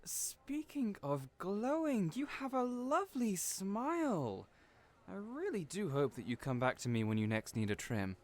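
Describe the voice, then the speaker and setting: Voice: medium pitch, sing-song, unhurried. An actor in an audio roleplay playing a cheerful, chatty hairdresser who is theatrical, effusive and playfully teasing. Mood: gushing.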